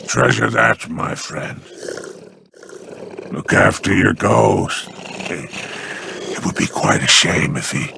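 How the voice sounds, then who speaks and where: An actor playing a smug, scheming male alien crime boss in a video game, with a deep gravelly growl and a slow, mockingly polite manner. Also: very animated, background noise, exaggerated voice. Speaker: merchant boss.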